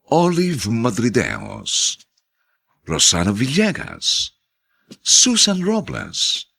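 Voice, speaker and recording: A man's voice: lowish voice, a bit bright, breathy edge. A man with a Filipino accent narrating and announcing a radio drama in a solemn, theatrical style.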